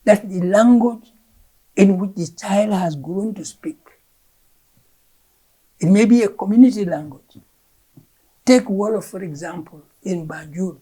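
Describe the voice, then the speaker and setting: Gambian woman, Fula native speaker, husky and mellow; an emphatic official explaining policy, repeating words mid-thought. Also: medium pitch, measured pace, pauses often, poor audio. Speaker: curriculum official, interview guest. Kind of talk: explaining education policy in an interview.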